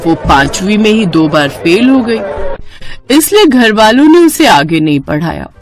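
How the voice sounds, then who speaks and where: A woman's voice: medium pitch, big pitch swings, a little slow, crowd noise. A woman narrating a scripted cartoon story as the storyteller. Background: faint music.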